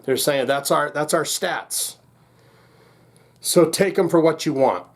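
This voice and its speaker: A man's voice: fairly high pitch, takes it slowly. A man hosting a casual music reaction, thinking aloud in a rambling, jokey, slangy way.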